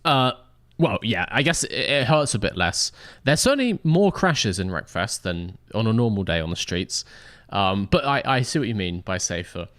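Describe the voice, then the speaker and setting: A man's voice: lowish voice, very animated, normal speed, a bit bright, no echo, studio quality. A man hosting a livestream and casually interviewing racers after an event. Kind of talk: casual post-race interview.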